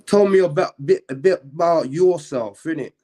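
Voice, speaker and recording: A man's voice: on the high side, moderate pace. A man hosting a live-streamed interview, chatting casually and often tagging his questions with slang. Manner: relaxed, uses slang tags.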